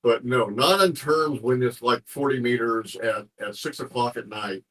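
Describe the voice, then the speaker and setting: A man's voice: mid-range voice, normal speed, a bit bright, rough recording. A man from the American South with a Southern accent, chatting casually as a guest in a folksy way, restating phrases for emphasis. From American South, North Carolina. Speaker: interview guest. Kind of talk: casual chat.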